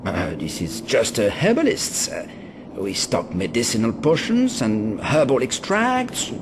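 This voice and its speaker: An actor playing a timid, evasive herbalist shopkeeper in a video game, halting and hesitant as he speaks. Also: huge pitch swings. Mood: nervous, evasive. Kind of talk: video game cutscene acting. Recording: game audio with rumbling background noise.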